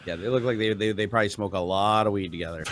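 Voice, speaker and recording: A man's voice: fairly low pitch, very fast, a bit bright, slight background noise. A man cohosting a podcast, talking casually and animatedly, swearing freely. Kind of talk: casual chat.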